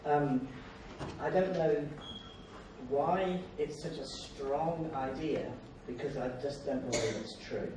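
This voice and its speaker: Older male speaker, Australian accent, conversational lecture in short halting phrases, distant mic in a noisy, reverberant hall. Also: fairly high pitch. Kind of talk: informal public talk.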